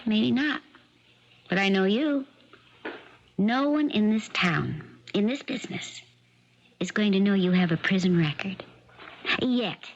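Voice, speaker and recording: Through the muffled sound of a seventies radio broadcast comes a domineering wife. She is shrewd and ambitious, hard-edged and bossy, in a mid-pitched voice that gives orders and expects them followed. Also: lively intonation, unhurried, pauses often. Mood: cold, threatening. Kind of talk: 1970s radio mystery drama acting. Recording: dull, band-limited 1970s radio broadcast.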